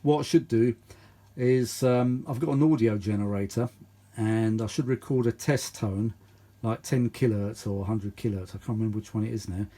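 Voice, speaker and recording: A man's voice: fairly low pitch, moderate pace, mellow. A British man rambling casually through a hands-on demonstration, often muttering asides to himself. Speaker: vintage audio hobbyist and video host.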